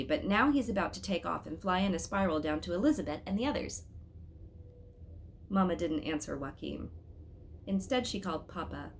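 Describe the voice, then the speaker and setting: A woman reading a children's story aloud as an expressive storyteller, with dramatic, emphatic phrasing. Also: fairly low pitch, creaky, a little hiss or hum.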